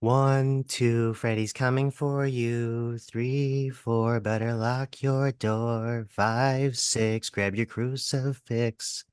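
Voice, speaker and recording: A man playing schoolchildren chanting an eerie jump-rope rhyme in a slow, measured sing-song at a horror table read. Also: mid-range voice, steady tone, a little mellow, smooth, no room sound, studio quality.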